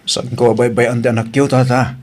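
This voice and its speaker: A man's voice: mid-range voice, flat delivery, brisk, natural voice. A man playing a meek, dutiful young working-class boyfriend in an Ilocano audio drama, respectful and hesitant, with an Ilocano accent. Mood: sheepish, deferential.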